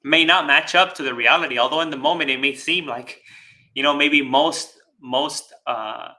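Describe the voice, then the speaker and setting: A man giving a dharma talk as a Buddhist teacher, speaking deliberately with pauses, repeated phrases and rhetorical check-ins. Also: a little high, sharp tone. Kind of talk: dharma talk with live chat questions.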